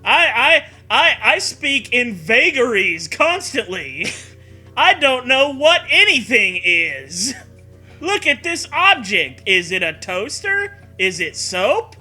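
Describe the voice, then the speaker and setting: A man's voice: very high voice, sing-song, takes it slowly, bright, forceful tone, soft background music. A man co-hosting a comedic read-aloud of fan fiction, riffing casually with mocking sarcasm and swearing. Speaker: co-host reading fan fiction.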